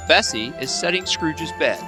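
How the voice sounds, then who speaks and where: A man's voice: fairly high pitch, expressive, normal speed, over music. A man narrating a radio play from a script, reading out scenes and settings.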